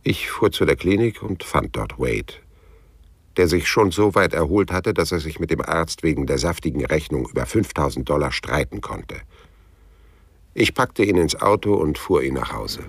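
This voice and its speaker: An actor playing a laconic, world-weary private detective, narrating his case in a deadpan, sober way in a noir radio play. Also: rumbling low, moderate pace, gravelly, breathy edge, no echo, exaggerated voice. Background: guitar music.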